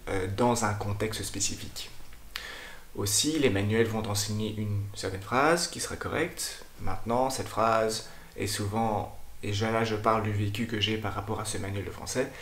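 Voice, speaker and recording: A man, a language teacher and channel host, talking to camera in a thoughtful, explanatory way, often pausing to hesitate. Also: lowish voice, roomy sound, a little hiss or hum. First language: French. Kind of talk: casual explanatory talk to camera.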